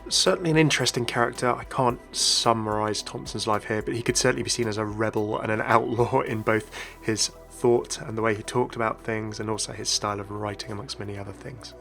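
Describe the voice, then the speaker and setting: A man's voice: fairly low pitch, steady tone, a little fast, vocal fry, fairly bright tone. A man presenting a chatty, inquisitive explainer as a gaming video host, scripted with casual asides. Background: faint background music.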